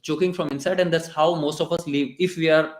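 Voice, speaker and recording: A man with an Indian English accent giving a conversational devotional lecture in a temple, pausing and restarting often. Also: on the high side, steady tone. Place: temple hall. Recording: echoey temple room.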